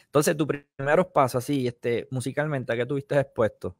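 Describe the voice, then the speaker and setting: A man from Puerto Rico hosting an interview podcast from his music studio, relaxed and slangy. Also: mid-range voice, moderate pace, no room sound. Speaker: podcast host. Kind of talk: casual interview chat. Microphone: studio mic with headphones.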